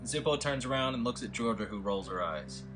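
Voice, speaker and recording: A man reading the scene headings and action lines of a screenplay in a table read, in a plain, steady way. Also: mid-range voice, brisk, over music. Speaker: script reader of stage directions.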